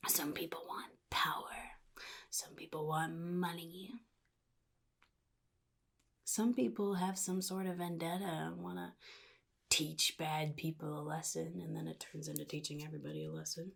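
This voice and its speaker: Woman playing a sadistic male comic-book supervillain, gravelly half-whisper, husky, halting drawl, casually menacing mentor, soft ASMR roleplay. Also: deep voice, steady tone, exaggerated voice. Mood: scheming mentor.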